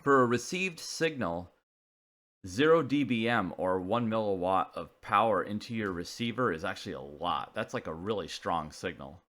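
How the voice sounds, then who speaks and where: A man giving an informal technical explanation, talking haltingly as he thinks aloud. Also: fairly low pitch, animated, fairly bright tone. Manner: casual, halting, thinking aloud while working through figures. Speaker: presenter of a CB radio technical video.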